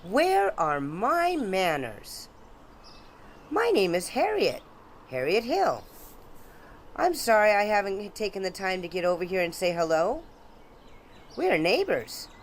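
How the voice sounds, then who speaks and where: A woman's voice: mid-range voice, huge pitch swings, slow, pauses often, slight background noise, heavily acted. An actress playing a courteous, genteel neighbor woman, easily wounded, in a radio drama. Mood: friendly, courteous.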